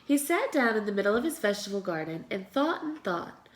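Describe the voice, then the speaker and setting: A woman reading a children's storybook aloud in an expressive way, as a teacher does for young children. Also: medium pitch, a little fast.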